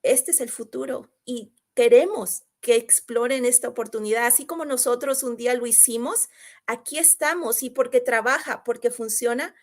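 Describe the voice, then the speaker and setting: A woman with a fairly high voice hosts an online video call. She talks in an upbeat, encouraging way, often restarting her phrases as she pitches a business opportunity. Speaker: host and business coach.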